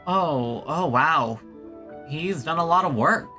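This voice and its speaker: A streamer comments casually on a game in informal, reactive asides. The voice is bright and very expressive, taking its time between thoughts. Faint game music plays behind. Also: mid-range voice, slow pace. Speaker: gaming streamer. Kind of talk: casual let's-play commentary.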